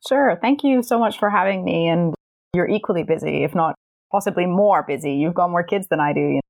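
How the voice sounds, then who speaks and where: A low-pitched woman in her thirties whose first language is Danish speaks as a podcast guest with a gravelly, fry-tinged voice. She tells her story in a friendly, reflective way, and her voice sounds dry and close to the mic. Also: fairly quick, dry recording. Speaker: podcast guest, parenting coach. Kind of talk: casual interview chat.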